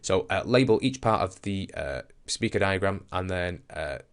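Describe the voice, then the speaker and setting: A man's voice: deep voice, expressive, moderate pace, clean tone. A man teaching a science lesson in an informal, explanatory way, talking conversationally and thinking aloud as he demonstrates.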